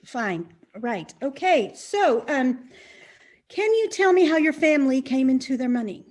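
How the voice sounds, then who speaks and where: Actress doing awkward polite interviewer lady, high pitched, apologetic and unsure, mystery comedy. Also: very animated, a little slow, darkish tone, exaggerated voice. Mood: hesitant, coaxing. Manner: hesitant, apologetic. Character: polite, awkward, nervous female interviewer investigating a disappearance. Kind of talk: comedic mystery acting in an online play.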